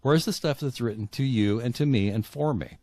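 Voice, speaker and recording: A man's voice: medium pitch, fairly quick, dark tone, dry recording. A man talking as an author guest in a casual interview, earnest and repeating words for emphasis.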